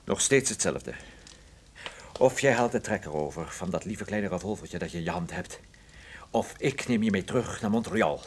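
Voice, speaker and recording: A man's voice: low voice, animated, normal speed, noisy background. Een man die in een hoorspel een droge, sardonische en onbuigzame privédetective speelt. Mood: accusing. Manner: dry, sardonic. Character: wry, unyielding male private detective confronting a criminal. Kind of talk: radio drama acting.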